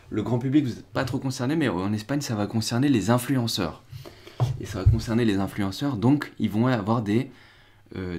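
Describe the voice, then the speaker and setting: A young man casually commenting on crypto news during a live stream, rambling with restarts and reading from the chat. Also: fairly low pitch. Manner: rambling, restarts and fillers, chats with viewers.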